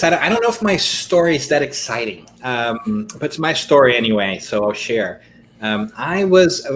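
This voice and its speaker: A middle-aged man raised in Brazil and speaking English as a talks through his story as a podcast guest. He is reflective and unhurried, pausing on fillers and restarting sentences, at an ordinary mid pitch. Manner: thoughtful, with many fillers and restarts. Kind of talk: casual interview conversation.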